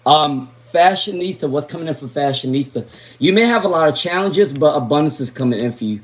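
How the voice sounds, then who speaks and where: Raspy chatty man reading tarot. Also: a little high, lively intonation, a little fast. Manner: chatty, drifts into asides and sung snatches. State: voice worn from talking all day. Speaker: tarot reader. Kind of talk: live-stream tarot reading.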